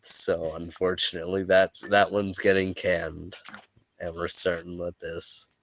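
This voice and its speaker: Sniffly, deep-voiced young man game master. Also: level tone, a little slow, fairly bright tone, slight background noise, poor audio. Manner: laid-back, joking, stumbles and restarts. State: sniffly from allergies, voice worn. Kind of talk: casual chat and recap narration for a tabletop game.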